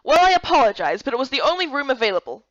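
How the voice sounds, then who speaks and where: Woman acting stern, commanding woman leader, higher-pitched. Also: sing-song, very fast, no room sound, dull audio. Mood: commanding, impatient. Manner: authoritative, sharp, emphatic. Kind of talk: visual novel character voice-over.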